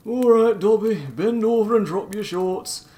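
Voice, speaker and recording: A man voicing a big, gruff half-giant man who sounds flustered and hesitant. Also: high voice, measured pace, pitched above the performer's own voice. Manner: loud, lively, hesitant and flustered.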